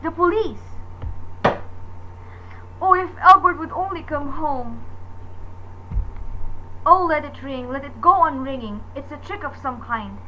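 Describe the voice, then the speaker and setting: Woman acting a high-voiced, overwrought, imperious wife. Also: lively intonation, measured pace, pauses often. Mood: frantic. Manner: indignant, demanding, melodramatic. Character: nervous, imperious married woman spiralling into panic. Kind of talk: suspense radio drama acting. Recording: dull lo-fi recording with faint noise.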